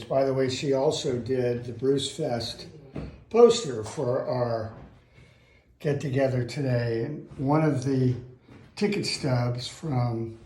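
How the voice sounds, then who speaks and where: A grandfather in his seventies, casually showing his home music memorabilia in a darkened room, fond and unhurried. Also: medium pitch, darkish tone, echoey room, slight background noise, rough recording. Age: 70s. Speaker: grandfather hosting a family music event. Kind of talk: casual home video tour.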